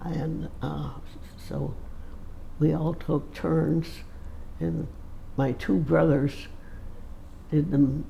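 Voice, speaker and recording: Gravelly, slow-speaking centenarian woman. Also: deep voice, flat delivery, mellow, a little hiss or hum, poor audio. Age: 100s. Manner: slow, halting recollection with many fillers. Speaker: interviewee. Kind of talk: casual storytelling.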